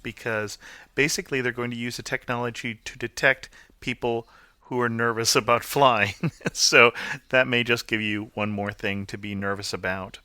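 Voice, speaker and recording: Bright-voiced male podcast host. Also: mid-range voice. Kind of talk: scripted podcast narration and interviewing.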